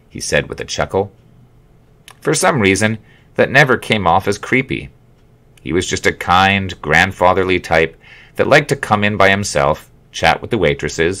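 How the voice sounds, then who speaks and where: Male author-narrator, low-pitched, for a memoir audiobook. Measured delivery with dry, wry humor. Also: sharp tone. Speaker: author reading his own memoir. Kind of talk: audiobook narration, scripted storytelling.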